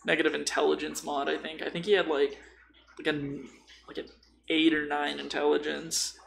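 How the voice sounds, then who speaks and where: A man's voice: fairly high pitch, level tone, normal speed, vocal fry, a bit bright, slight background noise. A man chatting casually on a podcast about tabletop role-playing, informal and rambling, swearing freely. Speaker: podcast co-speaker.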